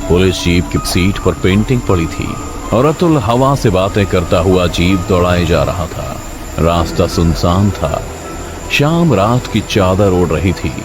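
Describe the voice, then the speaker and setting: A man narrates a scripted thriller as a dramatic storyteller. His voice is very deep. Music is clearly audible behind the narration. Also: animated, measured pace.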